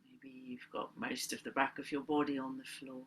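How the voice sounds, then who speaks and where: A woman leading a guided meditation as a teacher, speaking in gentle, deliberate phrases with long pauses. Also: extremely low pitch, very animated, vocal fry, slight background noise. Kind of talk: guided meditation, unscripted spoken instruction.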